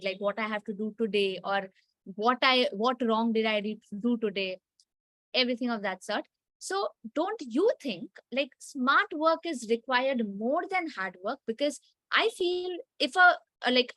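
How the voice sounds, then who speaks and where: A woman's voice: fairly high pitch, a bit bright, dry recording. A young woman with an Indian English accent and Hindi as her first language, asking long, reflective interview questions with personal asides. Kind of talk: casual conversational interview.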